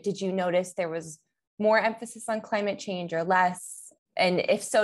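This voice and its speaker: A young woman co-hosts a podcast and interviews a guest. Her voice sits in a middle pitch. She asks long questions that wander a little as she searches for the right words.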